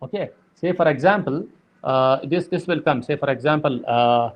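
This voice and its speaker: Guy teaching programming, lots of uh and okay, kinda high voice, muffled recording. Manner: halting, many fillers and repeated "okay". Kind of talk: lecture explaining code.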